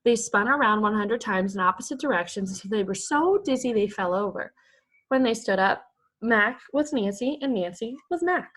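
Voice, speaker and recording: A woman's voice: mid-range voice, expressive, normal speed, a bit bright. A woman reading a children's chapter book aloud to young pupils, like a primary school teacher. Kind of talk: expressive children's story read-aloud.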